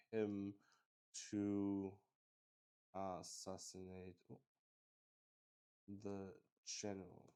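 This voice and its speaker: A man improvising game narration for a solo tabletop roleplay stream, musing out loud in a halting way. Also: on the low side, clean tone. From Luxembourg.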